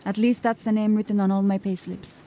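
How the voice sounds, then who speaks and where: A woman playing a cocky, smooth-talking female ship captain who is breezy and evasive with the law. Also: mid-range voice, level tone, fairly quick, lightly acted. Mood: sly. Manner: breezy, evasive, gently mocking.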